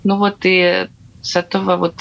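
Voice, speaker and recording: A young woman from Latvia telling her own story casually as an interview guest, with frequent hesitant pauses. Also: fairly low pitch, little pitch movement, gravelly, fairly bright tone, muffled recording. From Riga, Latvia. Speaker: guest, theatre accordionist.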